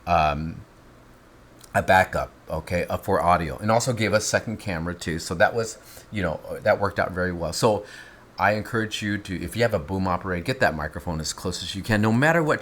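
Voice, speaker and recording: Animated man explaining filmmaking gear. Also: sits low, brisk. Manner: animated, explains step by step, teasing asides. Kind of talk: casual instructional chat.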